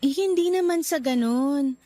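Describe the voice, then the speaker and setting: A woman's voice: high voice, moderate pace, dark tone, close to an everyday voice. An actress playing a bashful young girlfriend in a radio drama, with a Filipino accent. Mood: uneasy, hesitant. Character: cheerful, bashful young girlfriend, guarded about love. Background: music.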